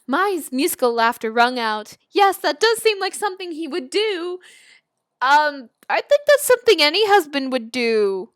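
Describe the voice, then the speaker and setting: A woman reading a story aloud and breaking off for casual, giggly, animated reactions of her own. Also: high-pitched, normal speed, dry recording, studio quality. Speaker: video creator reading and reacting to fan fiction.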